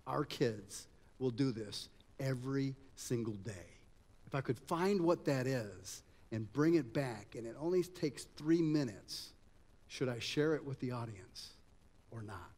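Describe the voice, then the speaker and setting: A man's voice: medium pitch, animated, unhurried, a little mellow. A middle-aged man from Germany with an American-tinged accent, giving an informal motivational keynote in a conversational way. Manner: conversational, addresses audience with rhetorical questions. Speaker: conference speaker. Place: event hall at a conference campus. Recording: echoey hall, long reverb.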